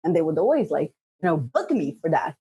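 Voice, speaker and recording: A middle-aged woman from Slovenia whose first language is Bosnian, telling her story as a podcast guest in a lively, rambling way, full of fillers and laughs. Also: low voice, brisk, husky. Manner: lively, frequent "like" and "you know" fillers, laughs often. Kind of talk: casual interview talk.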